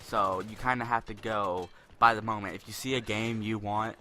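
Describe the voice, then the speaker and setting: A young man with a deep voice giving rambling, spontaneous commentary over gameplay, a little self-conscious. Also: fairly even intonation, normal speed, pushed and bright.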